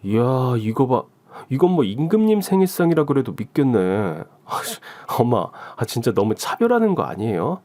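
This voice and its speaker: A man's voice: medium pitch, sing-song, moderate pace, few pauses, a little mellow, natural voice. A man playing a teasing, mock-jealous middle-aged husband in a radio story, with casual grumbling banter. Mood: mock sulking. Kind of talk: Korean radio story acting.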